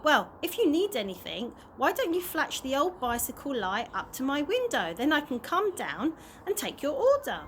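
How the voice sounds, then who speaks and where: Young girl for a children's audiobook, voiced by a woman: kind, nervy, polite, high and animated, slightly noisy amateur sound. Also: normal speed. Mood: helpful. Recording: noisy amateur home recording.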